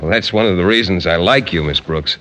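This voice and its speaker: An actor playing an earnest, naive, hesitant biology teacher in a 1940s radio comedy. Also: male voice, sits low, brisk, fairly bright tone. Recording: slightly noisy 1940s radio recording.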